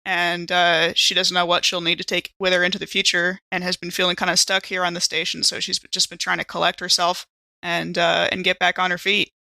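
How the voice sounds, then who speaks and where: A woman's voice: lowish voice, completely flat delivery, fairly quick, vocal fry, pushed and bright, dry recording. A woman taking part in a tabletop game, casually narrating what her character does and thinks.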